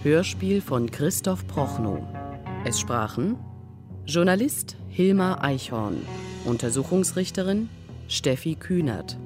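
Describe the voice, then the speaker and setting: A woman announces radio play credits in a low, very unhurried voice. Plucked guitar music plays clearly behind her. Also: sing-song. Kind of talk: scripted radio credits reading.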